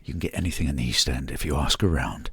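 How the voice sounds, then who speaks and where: Husky, evasive schemer. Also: male voice, extremely low pitch, fast talker, sharp tone, exaggerated voice. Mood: dry, unruffled. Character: evasive, defensive schemer, dry and self-assured man. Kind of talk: naturalistic audio drama acting.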